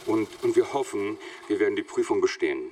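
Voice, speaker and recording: A man's voice: lowish voice, fast talker, darkish tone, close to an everyday voice. An actor playing a careful, earnest male head of technical services being interviewed in a radio play, explaining hesitantly. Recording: faint background noise.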